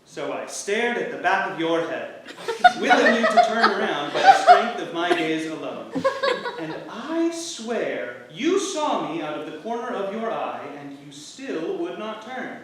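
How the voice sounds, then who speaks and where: A man acting a devoted, gently playful husband from early modern England in a staged play reading, earnest and tender in delivery. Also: high-pitched, huge pitch swings, a little slow, heavily acted. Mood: fond, nostalgic. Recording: roomy theatre, distant mic, faint noise.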